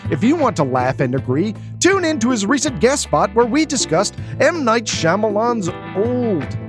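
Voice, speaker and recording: A man's voice: sits high, big pitch swings, moderate pace. A man hosting a podcast, delivering an upbeat promo read for his show. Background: music.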